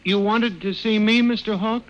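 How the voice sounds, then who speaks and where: An actor playing a timid, hesitant middle-aged male clerk who narrates and acts in an old-time radio drama, with an apologetic, quietly resentful manner. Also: high-pitched, fairly even intonation, normal speed, very noisy, lightly acted. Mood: flustered, meek. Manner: hesitant, meek, deferential. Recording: old radio broadcast with music bed.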